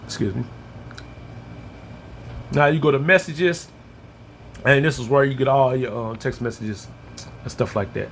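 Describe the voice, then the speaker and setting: American man, mid-pitched voice, informal tutorial narration, step-by-step explaining, faintly noisy recording. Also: measured pace, pauses often. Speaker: app reviewer and tutorial presenter. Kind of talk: casual app walkthrough.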